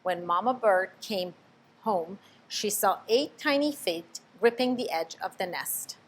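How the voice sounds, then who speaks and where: A woman reading a children's picture book aloud, expressive, stopping to ask the listener questions. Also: medium pitch, a little slow, a little hiss or hum, rough recording. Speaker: storybook reader.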